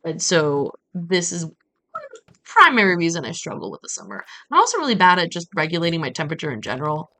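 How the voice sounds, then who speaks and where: A woman from Brazil chatting casually as she streams a game, telling stories in an animated way with playful asides. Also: lowish voice, quick pace. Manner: animated storytelling with acted-out quotes and playful asides. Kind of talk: casual chat while playing a game on a livestream.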